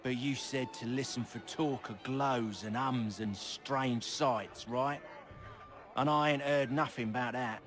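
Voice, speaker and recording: An actor playing a brash, scrappy young rogue and fixer in a colonial-era video game, slangy and cheeky. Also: male voice, mid-range voice, a little slow, a bit bright, a faint crowd, close to an everyday voice. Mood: earnest, obliging. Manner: slangy, cheeky, rough-spoken. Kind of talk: video game cutscene acting.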